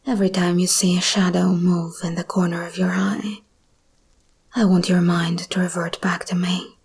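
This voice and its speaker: A woman voicing a seductive, menacing female monster hybrid in an ASMR roleplay, speaking in a breathy whisper with slow, deliberate, teasing delivery. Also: fairly low pitch, flat delivery, a little mellow, full character voice. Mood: possessive, intimate.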